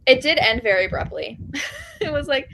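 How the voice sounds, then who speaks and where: A woman's voice: high-pitched, lively intonation, moderate pace, pushed and bright. A woman co-hosting an online book club, chatting casually and informally about romance novels. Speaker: book club live show co-host. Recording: clearly noisy home microphone.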